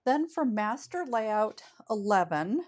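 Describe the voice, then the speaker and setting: A woman with a scratchy voice from a summer cold walks viewers through a card-making project at a slow, unhurried pace. She talks in an easygoing, chatty way and often stops to correct herself. Her pitch sits in a middle range. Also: very animated, darkish tone. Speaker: craft channel host. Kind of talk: step-by-step craft tutorial.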